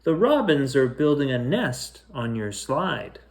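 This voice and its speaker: A man voicing a gentle but firm mother in a children's storybook, patient and affectionate as she explains things. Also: mid-range voice, sing-song, a little slow. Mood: tender. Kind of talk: children's storybook character reading.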